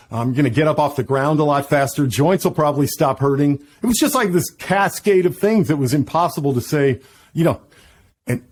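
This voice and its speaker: Middle-aged male guest, quick and enthusiastic, casual interview delivery. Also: medium pitch, a little mellow. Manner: fast, enthusiastic, many restarts. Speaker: podcast guest.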